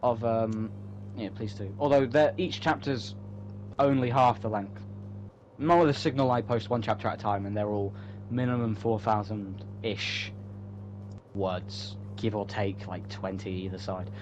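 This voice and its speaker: A man's voice: on the low side, fairly even intonation, unhurried. A man chatting casually and rambling, often repeating and interrupting himself in a joking way. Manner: self-interrupting, repetitive, joking, swearing casually. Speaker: writer promoting own online story. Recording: muffled audio with clear hum.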